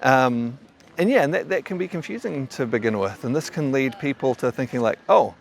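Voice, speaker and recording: A man's voice: medium pitch, animated, brisk, creaky, a little hiss or hum. A man with a mild New Zealand accent, a qigong teacher talking casually and thoughtfully to camera, often stammering over restarts. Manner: thoughtful, rambling, frequent stammered restarts and fillers.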